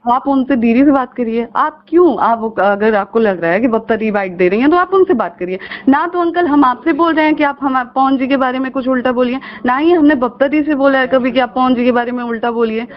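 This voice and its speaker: A woman's voice: high voice, a little fast, hardly any pauses. A woman with an eastern Hindi-belt accent argues and pleads insistently on a recorded phone call, repeating her questions. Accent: eastern Hindi belt, Bhojpuri region. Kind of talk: emotional phone conversation, arguing and pleading.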